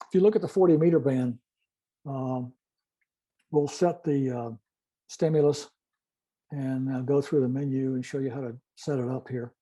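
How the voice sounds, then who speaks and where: An older man giving an informal technical presentation and demonstration, explaining methodically in a halting way. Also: mid-range voice, steady tone, dark tone. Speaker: club presenter, retired-style veteran telephony engineer.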